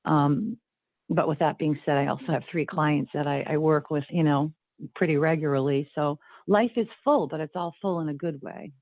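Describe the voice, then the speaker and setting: A woman's voice: sits low, normal speed, dry recording. A middle-aged woman sharing personal things casually and earnestly over a video call. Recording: very thin, phone-like call audio.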